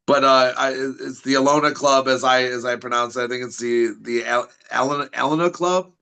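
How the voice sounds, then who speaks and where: A man with a Michigan accent speaks as a podcast guest, his voice bright and forceful at an ordinary pitch. He talks confidently and promotionally, often stopping to restart a sentence mid-thought. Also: steady tone, a little fast, creaky, smooth. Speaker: wrestling school head, guest. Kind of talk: podcast interview.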